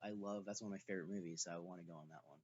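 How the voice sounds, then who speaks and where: A young man in his late teens chats on a podcast, rushing his words and often restarting. His voice sits low and slightly husky. The audio is dry and dull. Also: steady tone, a little mellow, dry recording. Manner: fast, eager, stumbling restarts. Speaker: podcast co-host. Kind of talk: casual chat.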